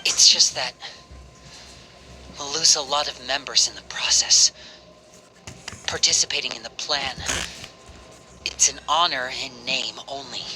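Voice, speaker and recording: An actor playing an earnest, loyal young man in an anime-style video game, explaining things in a measured way. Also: mid-range voice, bright, forceful tone, soft background music. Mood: grave, resigned.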